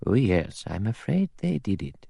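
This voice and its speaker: Man as a prim elderly woman, pious, self-righteous, dry and precise, creaky, quiet, storybook character. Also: on the low side, sing-song, dry recording, pitched above the performer's own voice, heavily acted. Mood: dry certainty. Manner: dry, brisk, precise, disapproving.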